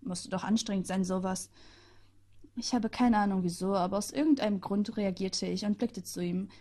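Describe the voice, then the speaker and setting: A woman reads a first-person story aloud at a middle pitch. She chats along with sarcastic little asides, like an amateur audiobook. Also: normal speed, warm tone. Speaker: narrator.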